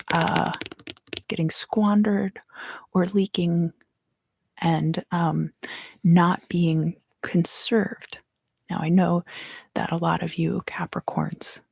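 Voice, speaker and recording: A woman giving an ASMR tarot reading in a hushed, measured delivery, drifting in and out of a whisper. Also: fairly low pitch, creaky, husky, no echo. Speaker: tarot reader and ASMR host.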